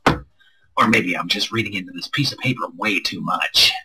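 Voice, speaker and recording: A man with a husky, strained voice reading a visual novel aloud expressively, with crude joking asides and stumbles over lines. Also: sits low, normal speed, background noise. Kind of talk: expressive read-aloud with casual banter.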